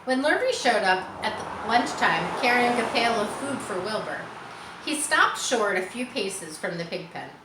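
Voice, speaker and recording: A woman reading a children's story aloud in an expressive, informal way, as a storybook narrator. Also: medium pitch, measured pace. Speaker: storybook reader. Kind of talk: children's read-aloud with casual asides. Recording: roomy echo, faint background noise.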